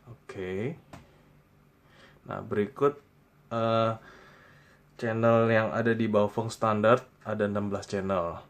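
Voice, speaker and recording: An Indonesian man presenting a step-by-step software tutorial in a plain, instructional way, pausing between short phrases. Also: fairly low pitch, fairly even intonation.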